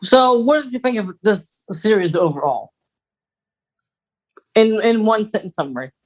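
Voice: medium pitch, animated, measured pace, rough recording. An American podcast co-host chatting casually in an informal, rambling, conversational way.

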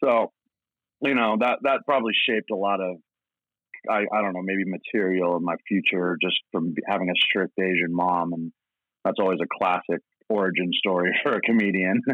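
Phone-like, low-voiced American man chatting. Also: steady tone, normal speed, dry recording. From Portland, Oregon, United States. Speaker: podcast guest, comedian. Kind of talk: casual anecdotal chat. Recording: tinny phone-like line.

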